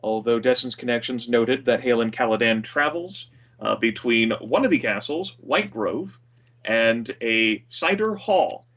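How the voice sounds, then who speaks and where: A man with a booming voice recapping a tabletop game session over a voice call, rambling with many fillers. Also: expressive, unhurried, fairly bright tone, recorded from a distance. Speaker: game master.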